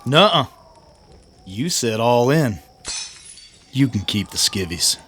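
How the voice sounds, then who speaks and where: An actor playing a sly, cocky gunslinging card sharp in a western radio drama, with a taunting, folksy drawl. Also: male voice, medium pitch, sing-song, slow, slight background noise. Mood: smug, taunting. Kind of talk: old-time western radio drama acting.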